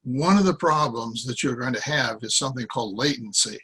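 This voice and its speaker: An American man in his seventies giving an informal talk from notes, rambling and halting as he corrects himself. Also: medium pitch, animated, fairly bright tone, slightly rough. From Iowa, United States. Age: 70s. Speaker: guest speaker, former journalist. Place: small cluttered room with boxes, indoors. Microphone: computer microphone on a video call.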